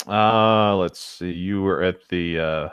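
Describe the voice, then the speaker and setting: A man casually reading a story aloud, pausing hesitantly to add chatty, joking comments. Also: low voice, a bit bright, clear, resonant tone, dry recording, studio quality. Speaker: co-host reading and riffing on fan fiction.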